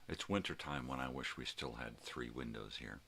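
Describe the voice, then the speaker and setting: A man's voice: a bass voice, creaky. An older man with a folksy, rambling, salty way of talking, chatting casually as the host of a personal video log at home. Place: room at home. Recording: noticeable background noise.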